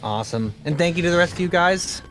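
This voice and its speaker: A man's voice: a little high, lively intonation, fast, fairly bright tone. A man speaking as a guest voice actor in casual livestream chat, effusive and earnest as he talks. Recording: music playing behind the voice. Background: music.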